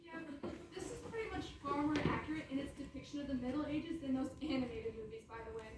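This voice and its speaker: High-voiced woman narrating in an echoey hall. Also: expressive, fast talker, crowd noise, noisy background. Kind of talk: comic scripted narration on stage. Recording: very echoey hall, far from mic.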